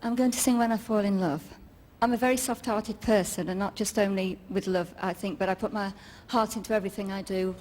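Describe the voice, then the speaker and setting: Soft-spoken woman in her fifties. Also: medium pitch, fairly even intonation, a little fast, big-room sound. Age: 50s. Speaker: contestant. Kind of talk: nervous audition chat.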